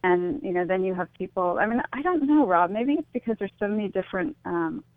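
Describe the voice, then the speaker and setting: A woman's voice: medium pitch, lively intonation, brisk, no echo. A woman is a guest on a radio interview, heard over a narrow, phone-like line with no room sound. She talks in a reflective, conversational way, a little tentative, often checking her point as she goes. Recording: thin, phone-like call-in line.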